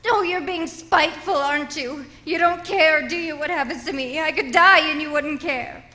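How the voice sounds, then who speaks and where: Woman acting frantic invalid wife, very high voice, imperious and pleading, old-time radio drama, faint background noise. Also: normal speed, natural voice. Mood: hysterical, angry. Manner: stammering, pleading, shrill outbursts. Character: frantic, imperious, self-pitying invalid wife alone at night.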